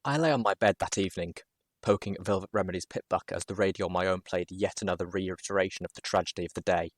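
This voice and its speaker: A man reading a first-person story as narrator, expressively and with dramatic pauses. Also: deep voice, fairly even intonation. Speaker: narrator, show host.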